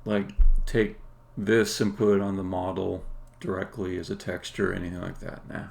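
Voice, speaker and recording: A man, a game developer, casually explaining his work on a live stream in a halting, think-aloud way. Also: deep voice, level tone. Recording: clear background noise.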